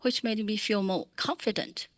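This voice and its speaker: A woman interpreting children's remarks from Mandarin into English at a public meeting, in measured, plain phrasing. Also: mid-range voice, steady tone, no echo.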